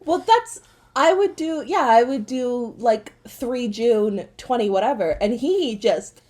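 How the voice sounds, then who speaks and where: A young woman co-hosting a podcast, chatting casually with quick asides and self-corrections. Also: fairly high pitch, expressive, darkish tone. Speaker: podcast co-host. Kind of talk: casual chat.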